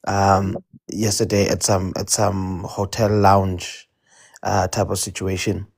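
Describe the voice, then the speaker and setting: Rambling man, lowish voice. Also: flat delivery, slow pace, creaky, breathy edge. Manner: rambling, hesitant with frequent restarts and fillers. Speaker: debate participant. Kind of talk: casual conversational storytelling.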